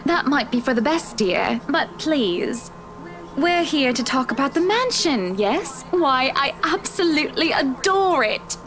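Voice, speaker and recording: Wealthy socialite wife, visual novel: high voice, polished, polite, used to giving orders. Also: female voice, big pitch swings, unhurried. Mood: gracious. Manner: genteel, commanding, terms of endearment.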